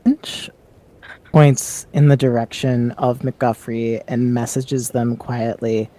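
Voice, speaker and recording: A man's voice: medium pitch, little pitch movement, slow pace, warm tone, poor audio. A man playing a tabletop roleplaying game, describing what his character does. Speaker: player.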